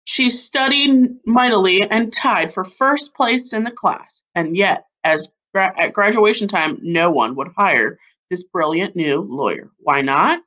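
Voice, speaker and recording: A woman in her thirties reading a children's picture book aloud like a schoolteacher, with deliberate phrasing. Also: mid-range voice, a bit bright, low-quality recording. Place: living room at home, seated on a couch. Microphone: webcam or laptop mic at about arm's length.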